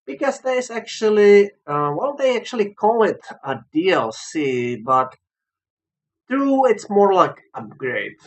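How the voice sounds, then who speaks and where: A non-native English speaker with a foreign accent, giving a casual unscripted game review and hesitantly searching for words. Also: mid-range voice. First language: not English. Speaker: visual novel reviewer.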